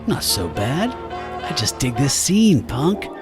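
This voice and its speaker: A man's voice: mid-range voice, very animated, takes it slowly. A man playing a menacing bald biker thug in an audio drama, cocky and cruel, talking in sneering street-tough slang. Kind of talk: radio-style audio drama acting. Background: music.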